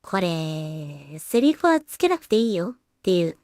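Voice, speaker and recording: A woman talking casually to herself and her viewers as a solo creator at work, musing in an unhurried way and letting remarks trail off. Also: on the low side, very animated, a little mellow, dry recording. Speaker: solo animation creator and streamer. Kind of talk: casual work-along commentary, thinking aloud.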